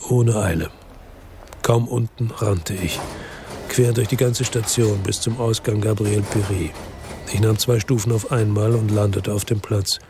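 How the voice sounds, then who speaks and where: Low, gravelly hard-boiled narrator. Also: male voice, steady tone, a little slow, noisy background. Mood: measured, tense. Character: weary hard-boiled male investigator narrating his own story. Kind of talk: scripted first-person radio drama narration. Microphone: close dry studio microphone.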